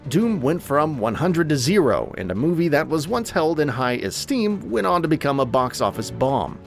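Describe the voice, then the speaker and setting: A man delivers scripted countdown narration in a fairly high voice. His pitch moves around in an animated way, and light music plays underneath him. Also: gravelly. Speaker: narrator of a film countdown video.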